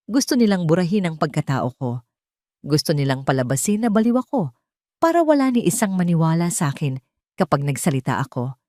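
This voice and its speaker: Low-voiced woman narrating a dramatic story. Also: a little mellow, no echo, studio quality. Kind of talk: dramatic first-person audio drama narration in a scripted story.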